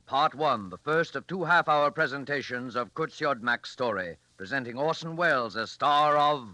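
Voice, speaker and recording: A man's voice: medium pitch, animated, normal speed, pushed and bright, dry recording. A man announcing a 1940s radio program in a formal, dramatic manner.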